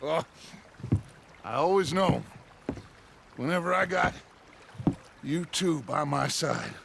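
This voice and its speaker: A middle-aged American outlaw boss from a western game. He is grandiose and upbeat, speaking slowly and expansively in a fairly high male voice, with clear water and boat noise behind him. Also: animated, exaggerated voice. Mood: earnest, reassuring. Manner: theatrical, expansive, philosophical. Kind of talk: cinematic western game acting. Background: water and boat sounds.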